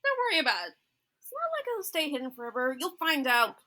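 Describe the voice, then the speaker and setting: A woman's voice: high-pitched, huge pitch swings, fast talker, sharp tone. A woman co-hosting a podcast in casual chat with a wry, teasing manner. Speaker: podcast co-host.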